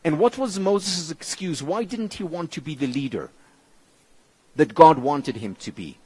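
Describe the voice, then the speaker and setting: A man whose mother tongue is Hebrew gives a motivational conference talk in English, with measured, emphatic phrasing and deliberate pauses. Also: slightly rough. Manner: lively, expressive pitch, dropping low on key words. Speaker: keynote speaker.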